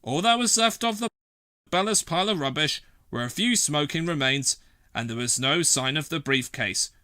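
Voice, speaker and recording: Children's book narrator: male, expressive, animated intonation, close dry recording. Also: on the high side, normal speed, dry recording. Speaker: storybook narrator.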